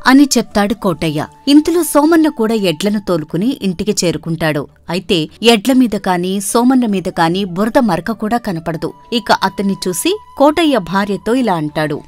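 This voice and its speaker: A woman narrating a scripted animated story as a storyteller. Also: medium pitch, normal speed, faint music behind.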